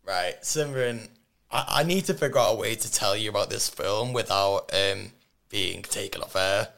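Hoarse low-voiced man hosting radio. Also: normal speed, a bit bright. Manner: laughs often, teasing. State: hoarse, lost voice. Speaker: radio host. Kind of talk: casual radio chat. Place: radio studio. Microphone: studio microphone.

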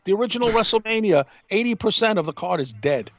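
A man's voice: high-pitched, animated, quick pace, no echo. A man co-hosting a podcast in casual talk, speaking emphatically and repeating himself for effect. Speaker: podcast co-host.

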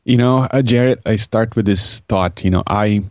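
Upbeat Brazilian-born man, low voice. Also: normal speed, no echo. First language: Portuguese. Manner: upbeat, laughs often, self-deprecating. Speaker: podcast guest. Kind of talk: casual interview talk.

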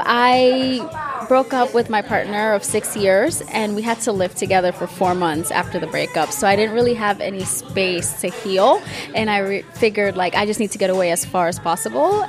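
A woman with a Dominican accent recounts her travels in a relaxed, chatty way. She speaks frankly as a podcast guest. Music plays in the background of the bar. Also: mid-range voice. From Dominican Republic. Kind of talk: casual storytelling.

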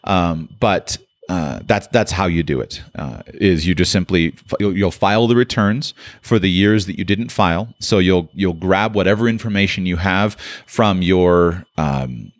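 Low-voiced guy hosting a call-in show, lots of um and restarts, dry close sound. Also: unhurried, no echo. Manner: thinks aloud with many restarts and fillers. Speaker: radio show host.

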